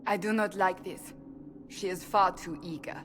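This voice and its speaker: A woman's voice: lowish voice, steady tone, normal speed, noisy background, natural voice. A woman playing a stern, distrustful companion in a fantasy game, speaking bluntly and with suspicion. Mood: suspicious.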